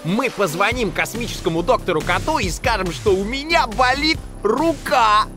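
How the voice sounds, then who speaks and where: A woman's voice: a little high, lively intonation, moderate pace, music in the background, natural voice. A woman voicing a mischievous little male prankster creature in a children's cartoon, sly and playful. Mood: scheming.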